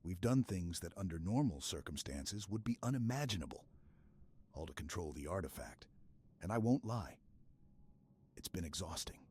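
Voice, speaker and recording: A man playing a stoic, earnest super-soldier in an intimate sci-fi ASMR roleplay, speaking in a halting, hushed way with dramatic pauses. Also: sits low, expressive, slightly rough. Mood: weary, sincere.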